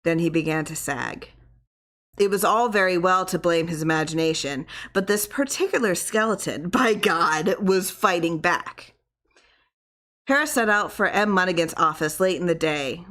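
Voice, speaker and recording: A woman's voice: lowish voice, moderate pace, fairly bright tone. A woman reading a horror short story aloud as scripted audiobook narration. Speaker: audiobook reader.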